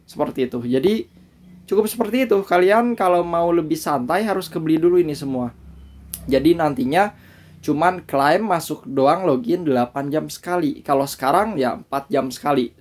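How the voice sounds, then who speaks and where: Casting note: relaxed male host, voice a touch high, talks viewers through a screen recording. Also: moderate pace. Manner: relaxed, chatty, addresses viewers as guys. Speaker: crypto channel host. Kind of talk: casual screen-recorded walkthrough. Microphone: voice-over on screen recording.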